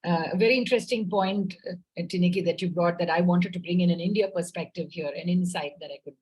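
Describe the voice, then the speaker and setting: A woman's voice: fairly low pitch, brisk, a little mellow, low-quality recording. A woman speaking thoughtfully as a panelist on a talk show, with many fillers.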